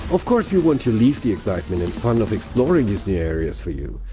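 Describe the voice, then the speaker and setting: Mellow man narrating with lively intonation. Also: lowish voice, fast. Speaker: developer presenter narrating a game showcase. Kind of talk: scripted promotional narration, conversational. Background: background music.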